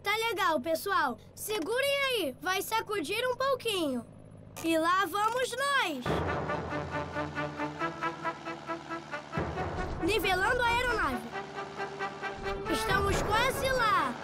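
A woman's voice: very high voice, big pitch swings, slow, loud music behind the voice, heavily acted. A woman voicing a cheerful, caring little boy with a light, childlike voice and a Brazilian accent, in a preschool cartoon.

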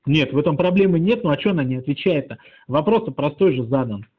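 A man's voice: a little high, lively intonation, fast talker. A man in casual mocking phone chat, teasing and drawling as he strings along a caller. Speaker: channel author pranking a phone scammer. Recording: muffled lo-fi call recording.

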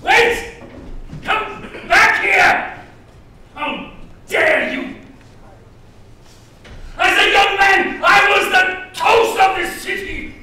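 Older male vain, possessive slaving captain; slow, high-pitched delivery, stage drama. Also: sharp tone, some chatter behind, full character voice. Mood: outraged, desperate. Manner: theatrical, slow, intense. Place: large theater stage, indoors. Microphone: far from the speaker on stage. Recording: echoey hall, distant mic, hiss.

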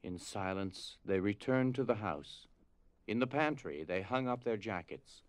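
A man narrating a scripted drama as storyteller, with grave, deliberate phrasing. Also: fairly low pitch, expressive, no room sound.

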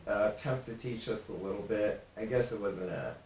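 A young man, a guest on a TV interview, answering in a relaxed, halting way with many fillers. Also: on the low side. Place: TV studio set. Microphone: desk mic from a distance. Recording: roomy studio sound, mic at a distance, faint hiss.

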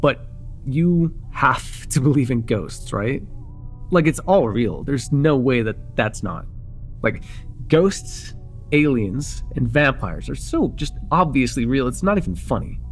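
A man's voice: medium pitch, animated, slow pace, faint music behind. A man playing an eager, awkward young vampire in an intimate ASMR roleplay, rambling nervously and stumbling over his words. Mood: eager, excited. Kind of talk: intimate ASMR roleplay, one-sided conversation.

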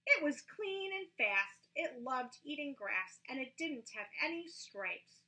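A middle-aged woman reading a children's story aloud indoors, with a deliberate, expressive delivery. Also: high-pitched, poor audio. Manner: deliberate, animated. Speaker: storybook reader. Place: small living room, indoors.

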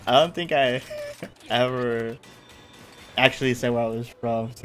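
A man's voice: medium pitch, sing-song, slow pace, a bit bright. A man in his thirties from California, chatting casually while streaming a game, laughing often. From California, USA. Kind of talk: casual gameplay commentary. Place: home room. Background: faint game music.

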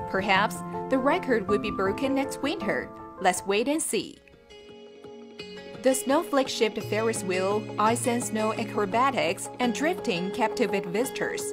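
Woman narrating a cheerful travel vlog. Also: a little high.

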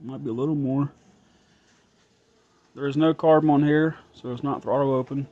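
A man's voice: medium pitch, steady tone, normal speed, narrow, tinny audio. A man thinking aloud as he tests an engine at his garage workbench, casual and a bit frustrated. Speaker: home mechanic vlogger. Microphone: phone camera held close.

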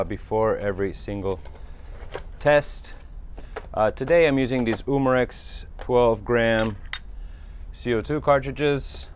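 A man's voice: mid-range voice, clear, resonant tone. Chatty man reviewing airguns. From Italy. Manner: chatty, theatrical announcing. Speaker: airgun channel host. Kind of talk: casual product review talk. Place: outdoor backyard shooting range.

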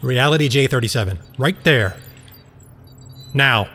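An actor playing an obsessed, ruthless scientist in an audio drama, commanding and insistent. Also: male voice, medium pitch, animated, measured pace, sharp tone, slight background noise. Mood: urgent commanding. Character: obsessed, ruthless man scientist, bent on vengeance.

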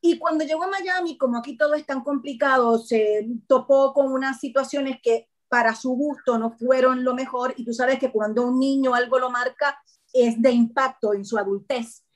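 A middle-aged woman, an actress chatting on a video call as an interview guest, expressive and laughing often. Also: high-pitched, moderate pace. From Puerto Rico. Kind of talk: casual interview chat.

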